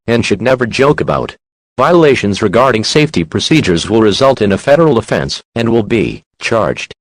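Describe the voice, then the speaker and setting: A man plays a solemn emergency alert announcer with a middle-pitched voice and a very dry sound. He delivers a formal scripted warning, stern and measured, with official weight. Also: no echo, poor audio.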